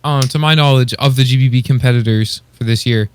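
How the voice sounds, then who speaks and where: A man's voice: mid-range voice, fairly quick, clean tone, no echo. A man co-hosting a podcast, chatting casually and giving informal, appreciative opinions. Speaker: podcast co-host.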